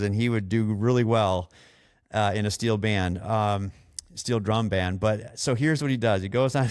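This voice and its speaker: A man's voice: mid-range voice, clean tone, no room sound, studio quality. An American man hosting a podcast, giving a casual, opinionated rant in a blunt, exasperated manner that includes swearing. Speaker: podcast host.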